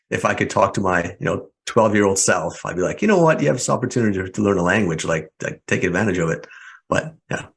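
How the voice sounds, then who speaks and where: Low-voiced chatty male podcast host. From Hungary, raised in Canada. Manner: friendly, chatty, rambling with frequent fillers. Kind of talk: casual interview chat.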